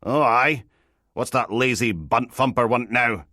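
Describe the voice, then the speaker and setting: A man voicing a crude, sardonic female senior police officer in an audiobook, loud, sleazy and mocking. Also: mid-range voice, measured pace, no echo, clean studio sound, pitched above the performer's own voice. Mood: grumpy.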